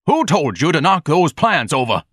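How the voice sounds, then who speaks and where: Pompous blustering man, high-pitched, forceful, grandiose diction, indignant audiobook character. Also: very animated, moderate pace, breathy edge, no echo, clean studio sound, full character voice. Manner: bombastic, declamatory, over-formal diction.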